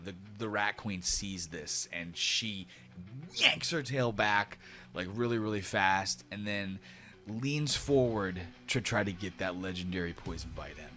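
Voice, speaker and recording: A man narrating a tabletop fantasy game as game master in casual, slangy, animated storytelling. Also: on the low side.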